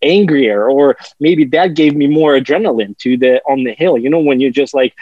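A man in his forties, a former ski racer, talking as a podcast guest in a rambling, halting way and laughing often. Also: medium pitch, no room sound, lo-fi sound. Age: 40s. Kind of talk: casual podcast chat.

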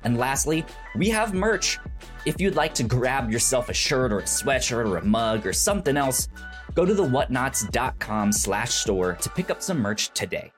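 A man's voice: mid-range voice, big pitch swings, normal speed, soft background music. A man co-hosting a casual podcast, chatty, often stumbling and restarting his words. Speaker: podcast co-host.

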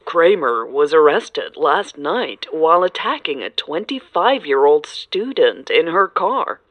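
A woman's voice: sits low, sing-song, a little slow, no room sound, dull audio, full character voice. A woman playing a serious, formal news reporter reading a scripted report in an audio drama. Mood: grave, formal. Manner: formal, grave.

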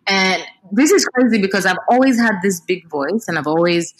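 A woman's voice: medium pitch, moderate pace. A Nigerian woman in her thirties, a singer talking expressively and animatedly in a casual interview. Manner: expressive, animated, repeats words while thinking.

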